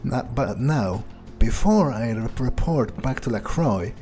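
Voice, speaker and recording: A man role-playing a sardonic male vampire who thinks aloud in a halting, musing way during a game playthrough. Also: mid-range voice, expressive, dark tone, faint music behind, lightly acted. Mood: scheming. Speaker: gamer role-playing a character. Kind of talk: in-character improvised game playthrough monologue.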